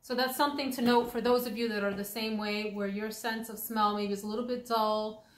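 A woman's voice: fairly high pitch, fairly even intonation. Chatty woman reviewing perfumes, echoey room. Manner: chatty, thoughtful, drawn-out pronunciations. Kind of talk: casual review chat.

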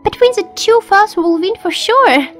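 A woman voicing an excitable, friendly teenage girl in an animated comic dub, eager and exclamatory. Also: very high voice, brisk. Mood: cheerful. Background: faint music.

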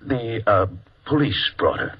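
An unctuous funeral attendant in a 1950s radio play. His deep, gravelly-husky voice rises and falls with pompous, mournful formality. The old recording is muffled. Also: big pitch swings, a little slow, exaggerated voice. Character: solemn, unctuous male funeral parlor attendant.

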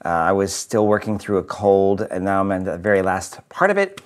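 Nasal-voiced priest, chatty and upbeat. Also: male voice, deep voice, big pitch swings, fairly quick. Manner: chatty and upbeat, with fillers and restarts. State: nasal from a cold. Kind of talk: weekly video reflection. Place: living room with a Christmas tree, indoors.

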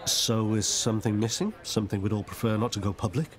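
An actor playing a mild British pub regular in a radio soap, speaking in a casual, colloquial way. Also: male voice, fairly low pitch, quick pace, natural voice. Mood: casual, curious. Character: mild, chatty male pub regular and friend. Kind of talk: naturalistic radio soap acting.

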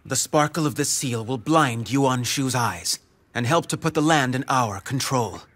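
An actor playing a shrewd, composed young strategist in a dramatic video game, speaking in formal, measured phrases. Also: male voice, mid-range voice, a bit bright, no echo. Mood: calculating.

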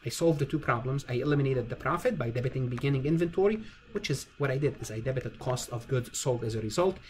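A man's voice: medium pitch, fast talker, a little mellow, a little hiss or hum. A man lecturing informally on accounting step by step, repeating phrases for emphasis. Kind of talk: informal step-by-step spreadsheet tutorial.

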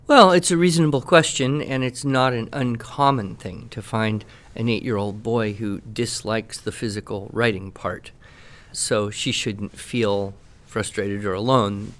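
A man in casual podcast talk, giving teaching advice in a measured, deliberate, dryly playful way. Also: medium pitch. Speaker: podcast guest expert answering questions.

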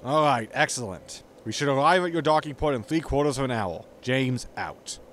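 An actor playing a grumpy, money-minded spaceship captain, impatient and sardonic, in a sci-fi comedy audio drama. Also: male voice, medium pitch, lively intonation, moderate pace, heavy background noise, close to an everyday voice. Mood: brusque.

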